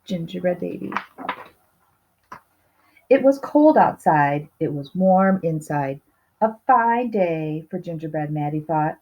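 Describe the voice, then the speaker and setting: A woman's voice: fairly low pitch, expressive, slow pace, pauses often, dark tone. A woman reading a children's storybook aloud expressively, with little asides to the listeners. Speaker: storybook reader. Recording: slightly dull, lo-fi sound.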